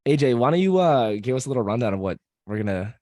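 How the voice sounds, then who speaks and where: A man hosting a casual podcast, a bit hoarse from screaming, who laughs a lot, swears freely and jokes around. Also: medium pitch, big pitch swings, quick pace, darkish tone, no echo, studio quality. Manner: laughs often, swears freely, loose joking delivery. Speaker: podcast host.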